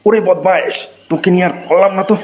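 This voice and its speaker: A man's voice: high voice, quick pace, darkish tone, a little hiss or hum, lightly acted. A man voicing an exasperated schoolteacher who scolds his pupils in a cartoon. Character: exasperated adult male schoolteacher. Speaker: teacher.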